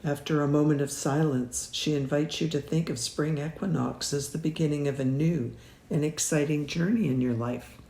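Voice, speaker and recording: A woman leading a guided meditation in a measured, soothing way, leaving long pauses between phrases. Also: low-pitched, fairly even intonation, warm tone, reverberant. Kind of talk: slow guided meditation narration.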